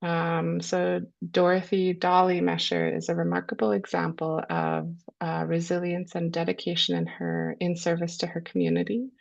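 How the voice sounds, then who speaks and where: A woman with a low voice and a cold, hosting a webinar and introducing its speakers in a measured way with frequent ums. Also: little pitch movement, vocal fry, a little mellow. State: has a cold. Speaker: webinar host. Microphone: video call. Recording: lo-fi video call audio.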